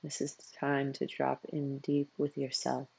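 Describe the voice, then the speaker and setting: Meditation coach, husky and creaky, slow delivery, narrow pitch range, soothing, long pauses, close dry sound. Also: monotone, no echo. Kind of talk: guided meditation.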